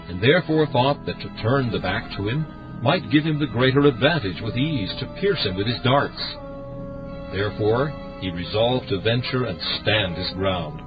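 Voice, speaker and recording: A male narrator reads an old-fashioned story in archaic wording. His voice is fairly low. The recording is slightly muffled, with clear music playing behind him. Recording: slightly dull sound with music.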